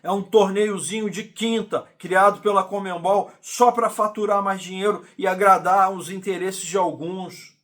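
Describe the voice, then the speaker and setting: Exasperated high-voiced Carioca man ranting. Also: steady tone, normal speed, few pauses, a bit bright. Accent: Carioca Brazilian Portuguese. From Rio de Janeiro, Brazil. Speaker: football channel host. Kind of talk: indignant fan commentary monologue.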